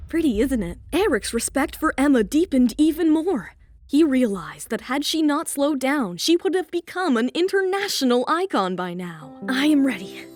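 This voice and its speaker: A woman's voice: high-pitched, big pitch swings, normal speed, darkish tone, soft background music. A woman narrating a scripted romance audio drama.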